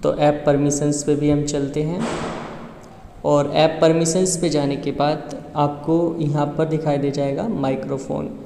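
A man's voice: on the high side, level tone, a little slow, vocal fry, mellow, slight background noise. A man giving a casual step-by-step tutorial as host, explaining and repeating each step before the next. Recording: echoey, reverberant room.

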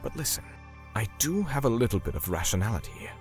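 A male actor as a righteous, menacing torturer narrating a manga story. His low voice is grim and measured, with music playing behind it. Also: very animated. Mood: grim, matter-of-fact. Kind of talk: scripted manga dub narration.

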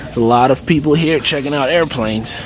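A man's voice: mid-range voice, brisk. Chatty man touring a plane. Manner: relaxed, chatty, lots of "okay" and "uh". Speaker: aviation vlogger. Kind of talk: casual walkaround tour. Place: large aircraft hangar at an expo, indoors. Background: music and crowd chatter.

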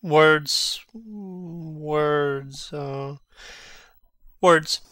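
Guy doing mad sardonic prince, highish sharp voice, takes his time, shakespeare audio play. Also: extremely slow, dry recording, studio quality. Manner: sardonic, theatrical. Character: mocking prince feigning madness, brooding and sardonic. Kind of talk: Shakespearean dramatic reading.

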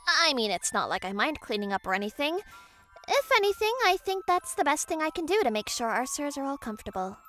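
A woman voicing a bashful but feisty, secretly sweet teenage schoolgirl maid in a manga romcom dub, shy and halting. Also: high-pitched, big pitch swings, bright, forceful tone, full character voice. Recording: music behind the voice.

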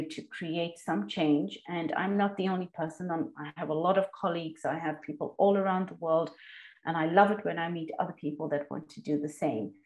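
A woman from South Africa giving reflective interview answers as a lecturer, thoughtful and halting as she rephrases her points. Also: fairly low pitch, rough recording. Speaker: university lecturer, podcast guest.

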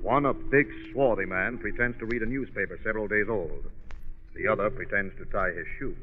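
A man's voice: fairly low pitch, fairly quick, faint music behind. A man reading scripted narration for a 1940s radio drama. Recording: tinny 1940s radio recording.

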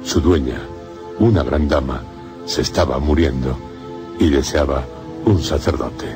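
A man narrating a gothic horror radio drama in a solemn, measured way. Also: a bass voice. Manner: solemn, measured storytelling in short phrases. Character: grave male narrator of a gothic horror tale. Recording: music under the voice.

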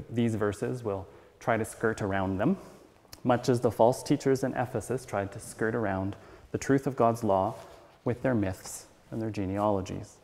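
A middle-aged man reading a written sermon aloud as a church elder, in a measured, earnest manner. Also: mid-range voice, vocal fry, a little mellow. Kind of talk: read sermon. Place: church sanctuary at a wooden pulpit, indoors. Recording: reverberant church hall.

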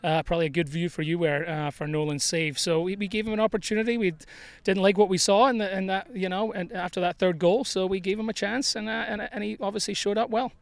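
A man's voice: high-pitched, steady tone, quick pace, vocal fry, no room sound. A middle-aged man, a head soccer coach, giving a candid, conversational postgame interview outdoors on a field into a handheld microphone, with plenty of fillers. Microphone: handheld mic held by interviewer.

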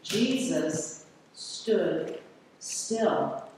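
A middle-aged woman preaches slowly and deliberately from a pulpit, leaning on rhetorical questions. She is heard from far back in a large church, her voice washed in long echoing reverb over a faint room hiss. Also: on the low side, animated. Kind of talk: sermon read from a pulpit. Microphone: far camera at the back of the church. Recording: echoey church hall from far off.

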